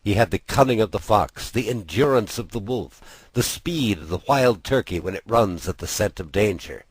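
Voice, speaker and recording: A man reading a story as an audiobook narrator, with measured, dramatic phrasing. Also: fairly low pitch, animated, breathy edge, no room sound, poor audio. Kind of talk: expressive scripted storytelling narration.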